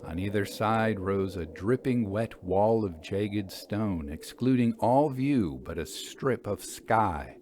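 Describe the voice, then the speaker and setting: A man reading literary narration as a storyteller, with measured, deliberate phrasing. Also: on the low side, lively intonation, darkish tone, slight background noise. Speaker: storyteller, podcast host.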